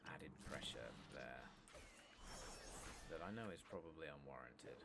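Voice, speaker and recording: A very low-pitched man murmurs softly with a gravelly creak, close to the mic. He chats along in an unhurried, rambling ASMR gaming style, over loud background noise. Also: lively intonation. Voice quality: soft-spoken, breathy murmur. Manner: rambling, self-deprecating, unhurried chat. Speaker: ASMR video game streamer. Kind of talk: soft-spoken ASMR gaming commentary. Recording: clearly noisy, constant loud background ambience.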